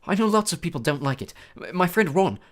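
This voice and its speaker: A woman voicing a nervous, polite schoolboy wizard who talks in a hushed, hurried way. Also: on the low side, sing-song, voice pushed up.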